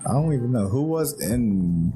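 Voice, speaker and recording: A man hosting a podcast, chatting in a relaxed, slangy way and chuckling often. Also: medium pitch, normal speed, over music. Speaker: podcast host. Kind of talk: casual chat.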